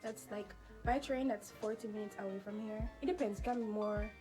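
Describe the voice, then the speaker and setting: A woman's voice: mid-range voice, fairly even intonation, moderate pace, music in the background. A nineteen-year-old woman from Jamaica with a Jamaican accent, chatting casually in a Q&A vlog, laughing often and filling with "you know" and "like". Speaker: vlogger.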